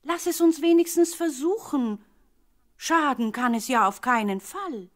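A woman's voice: sits high, animated, a little slow. An actress playing an indignant but practical royal servant in a radio play, earnest in her complaints and advice. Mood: persuasive.

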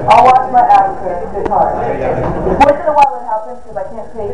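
A woman's voice: mid-range voice, moderate pace, recorded from a distance, very noisy. Chatty Texan woman lecturing from afar. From Texas, USA. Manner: chatty, lively, asks the class questions. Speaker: business school lecturer. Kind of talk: classroom lecture. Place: large classroom with projector. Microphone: far camera. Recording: echoey room from afar, muffled.

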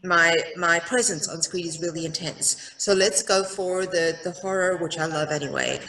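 A middle-aged woman with a South African accent talks through a headset on a video call. Her voice sits fairly low. The call sounds roomy and a little far off. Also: steady tone, moderate pace, a bit bright. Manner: chatty, self-deprecating, lots of ums. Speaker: actress, interview guest. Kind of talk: casual video-call interview. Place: bright room with a window.

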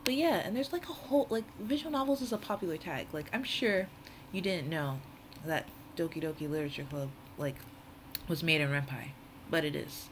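A woman's voice: low voice, brisk, a little hiss or hum. A woman hosting a casual, off-the-cuff tutorial in a chatty, informal, rambling way. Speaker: tutorial host.

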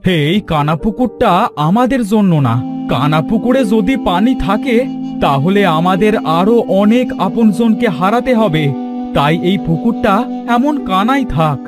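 A man playing a gentle, grieving village headman and father, apologetic and pleading in cartoon melodrama. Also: sits high, expressive, normal speed, music in the background. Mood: sorrowful, consoling.

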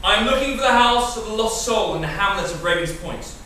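A courteous wandering stranger, played by a man declaims formally in a bright, high voice. The theatrical sketch sounds distant and echoey. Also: animated, fairly quick. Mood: courteous, declaiming. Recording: echoey room, distant, faint noise.

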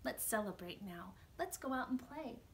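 A woman voicing a gentle male bear from a children's storybook, bright and cheerful. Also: a little high, lively intonation, moderate pace, a little hiss or hum. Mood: joyful. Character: sick gentle male bear, sweet and grateful.

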